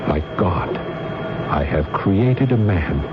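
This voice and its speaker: Deep-voiced, obsessed scientist. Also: male voice, lively intonation, very slow, exaggerated voice. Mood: grandiose, fanatical. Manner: grandiose, commanding delivery. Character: obsessed middle-aged scientist doctor, fanatical and domineering. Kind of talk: old-time radio drama acting. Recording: noisy, muffled old radio broadcast.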